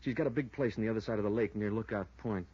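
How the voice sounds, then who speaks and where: Mellow, husky American small-town deputy. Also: male voice, on the low side, very fast. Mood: laconic, knowing. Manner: folksy, skeptical, laconic. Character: laconic, skeptical small-town deputy sheriff in his thirties. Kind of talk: 1950s radio drama acting. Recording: dull, dry vintage radio recording.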